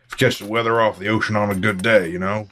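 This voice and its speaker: A man's voice: on the low side, fairly even intonation, fast talker, sharp tone. An actor playing a genial man in his seventies in an audio drama, chatting in a folksy, rambling way. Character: portly, genial grandfatherly traveler in his seventies. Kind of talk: audio drama dialogue as a casual interview.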